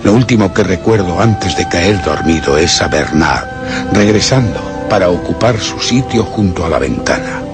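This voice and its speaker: Haunted gentleman narrator, Castilian accent, low and grave with dramatic swings, for suspense radio play over music. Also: animated, moderate pace. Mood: grave, brooding. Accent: Castilian Spanish. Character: haunted, obsessive gentleman narrator, master of a servant.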